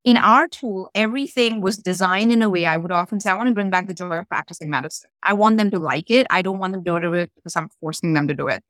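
A woman physician talking in an interview, quick and earnest, with many fillers. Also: lowish voice, a bit bright, dry recording. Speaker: physician founder guest. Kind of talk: interview talk.

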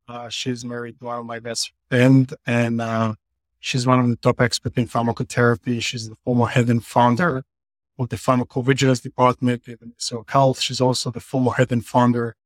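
A man's voice: mid-range voice, no echo. Businessman from Israel explaining on a call. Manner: earnest explaining with many fillers. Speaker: company CEO guest. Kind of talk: podcast interview. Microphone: remote call.

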